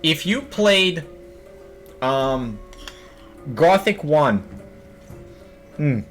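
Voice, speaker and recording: A man's voice: a little high, big pitch swings, extremely slow. A young man casually commenting on a video game as he plays, thinking aloud and joking. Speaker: streamer. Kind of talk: gaming commentary. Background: faint game music.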